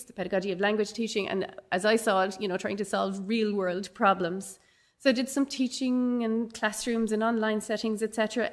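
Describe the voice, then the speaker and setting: A middle-aged woman from Dublin with an Irish accent whose first language is Irish, giving an earnest, enthusiastic conference keynote with frequent ums and restarts. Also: mid-range voice. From Ireland (Dublin). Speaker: university lecturer giving a keynote.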